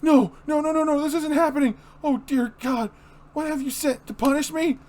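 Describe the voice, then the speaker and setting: A man's voice: very high voice, steady tone, normal speed, darkish tone, voice pushed up. A man voicing a panicky, hapless man trapped in a stifling mask, stammering frantic pleas in a comic-book dramatic reading. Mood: terrified.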